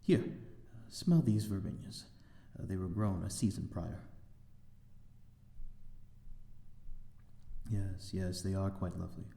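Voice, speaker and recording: A man's voice: low-pitched, normal speed, vocal fry, warm tone, reverberant. A man playing a courteous, lonely vampire lord in an ASMR roleplay, speaking in a genteel, formal and slightly hesitant manner. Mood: serene, refined. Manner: genteel, formal, hesitant, old-fashioned wording. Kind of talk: ASMR audio roleplay, amateur drama.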